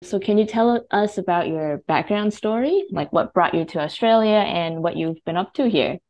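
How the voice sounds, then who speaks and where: A woman's voice: medium pitch, normal speed, a little mellow, lo-fi sound, faint music behind. A woman asking questions as the interviewer in a casual, conversational style.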